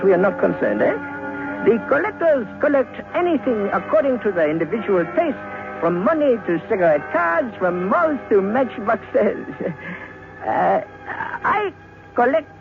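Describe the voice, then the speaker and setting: An actor playing a menacing little murderer in old radio horror, fussy, halting and over-polite. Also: male voice, sits high, sing-song, gravelly, exaggerated voice. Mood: smug, confiding. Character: menacing little French murderer, suavely polite, gleefully sinister. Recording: muffled vintage radio recording, music behind.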